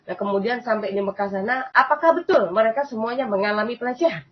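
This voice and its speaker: A woman's voice: a little high, quick pace. Female host, casual chatty commentary, keeps addressing listeners, muffled recording. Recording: dull lo-fi sound.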